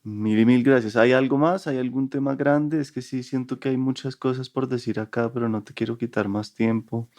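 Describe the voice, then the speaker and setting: Guy hosting a podcast, polite, asks open questions, pretty monotone. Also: medium pitch, normal speed, hardly any pauses. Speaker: podcast host. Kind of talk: interview questions.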